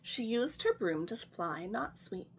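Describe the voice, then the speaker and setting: A woman hosting a children's story time, reading a picture book aloud in a gentle, sing-song way. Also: on the high side, sing-song, unhurried, a little hiss or hum.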